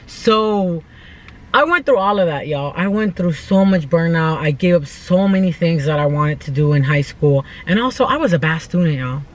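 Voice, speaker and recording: A woman's voice: fairly low pitch, lively intonation, moderate pace, poor audio. A woman in her twenties from the United States talking casually and earnestly in an unscripted vlog, with informal, emphatic phrasing. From United States, born in El Salvador. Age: 20s. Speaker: vlogger.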